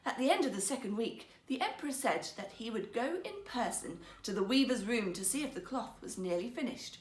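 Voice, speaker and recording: A woman storyteller narrating a fairy tale in an expressive, theatrical, carefully phrased way. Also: mid-range voice, roomy sound.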